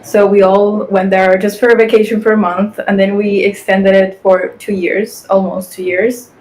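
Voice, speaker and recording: A woman's voice: mid-range voice, level tone, normal speed, a little mellow, low-quality recording. Chatty eighteen-year-old woman from Guatemala. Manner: chatty, frequent "like" fillers. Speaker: guest, fashion influencer and model. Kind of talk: casual podcast interview.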